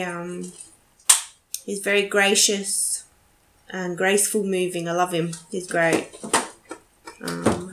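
Creaky-voiced woman in a noisy, echoey room. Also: medium pitch. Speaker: podcast co-host. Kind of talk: casual chat.

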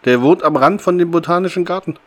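A man's voice: a little high, fast, fairly bright tone. A man talking casually as a podcast host, discussing a story with others. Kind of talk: casual discussion of an audio drama episode.

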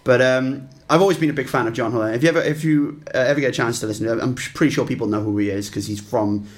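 A man's voice: mid-range voice, rapid-fire. A young Welsh man talking casually as a guest musician, rambling with lots of fillers and restarts. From Wales. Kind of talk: casual interview chat.

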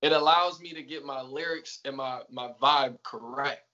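A man's voice: mid-range voice, normal speed, lo-fi sound, crowd noise. A man from Atlanta with a Southern accent, a rapper talking as a podcast guest in a laid-back way. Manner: laid-back, repeats yes often. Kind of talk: casual interview chat.

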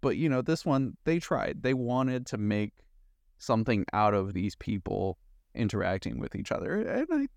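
A man's voice: on the low side, very animated, moderate pace, creaky, darkish tone, no echo. A man co-hosting a casual chat about movies, thinking aloud in loose, conversational asides. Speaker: podcast co-host.

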